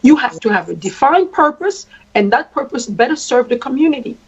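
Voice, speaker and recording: A woman from Congo speaks as a traditional queen. She talks deliberately and repeats phrases for emphasis, and her voice sits a little high with a husky edge. The recording sounds dull and lo-fi. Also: big pitch swings. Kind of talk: spoken address.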